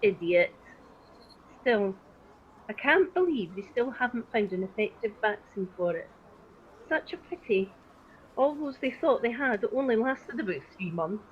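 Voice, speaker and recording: Woman doing nosy snooty gossip for radio play, lively pitch, muffled call sound. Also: a little slow. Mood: gossipy, scornful. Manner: gossipy, scornful. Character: gossiping, scornful acquaintance of a dead miser. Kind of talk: amateur radio play acting. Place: at home, recorded over video call. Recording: dull video-call sound, faint noise.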